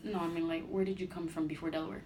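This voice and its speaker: A woman leads a casual interview in a somewhat low voice, answering with short acknowledgments and following up with clarifying questions. Faint background noise sits behind her. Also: flat delivery, racing, darkish tone. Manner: attentive, frequent short backchannels, clarifying questions. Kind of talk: casual conversation.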